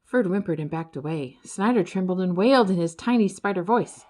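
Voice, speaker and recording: A woman narrating a children's storybook in an expressive, dramatic storytelling way. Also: fairly low pitch, fairly quick. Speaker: audiobook narrator.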